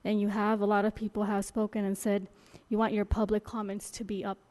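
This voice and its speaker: A woman council member speaks at a public meeting in an even, level voice with a soft, darker tone. She is measured and plain, with a few ums along the way. She talks into a desk microphone in the council chamber. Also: medium pitch.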